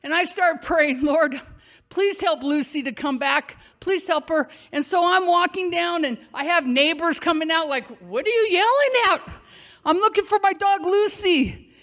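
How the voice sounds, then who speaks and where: High-voiced, slow-talking older woman storyteller. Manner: chatty and animated, calls out loudly in reenactments. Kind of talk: live personal storytelling on stage. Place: theater stage.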